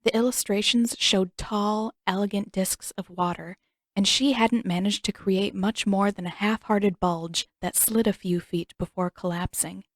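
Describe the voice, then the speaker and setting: A woman narrating her own fan story aloud as a podfic reader, in a steady storytelling manner. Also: mid-range voice, unhurried, no echo. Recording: dry close recording.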